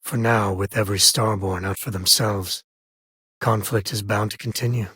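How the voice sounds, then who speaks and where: Really raspy space cowboy dad for a game, wry n folksy, caring, super dry close sound. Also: male voice, fairly low pitch, fairly even intonation, moderate pace, bright, forceful tone, no room sound. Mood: casual, wry. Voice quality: husky, raspy. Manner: folksy drawl-like phrasing, wry and conversational. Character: rugged, wry, caring space-frontier explorer and protective father. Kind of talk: video game companion dialogue.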